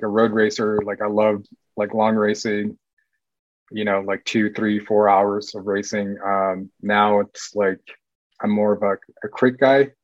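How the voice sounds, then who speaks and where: A man in his late thirties, raised in Sweden and California, chatting as a podcast guest in a loose, rambling way full of "like" fillers and quick laughs. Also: fairly low pitch, dry recording, highs cut off. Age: late 30s. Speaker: podcast guest, cycling team runner. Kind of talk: casual interview chat.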